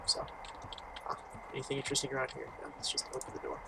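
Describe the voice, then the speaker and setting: A man's voice: mid-range voice, completely flat delivery, quick pace, gravelly, background noise. A man hosting a gaming video, casually reading game text aloud and reacting with informal, muttered asides. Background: game music.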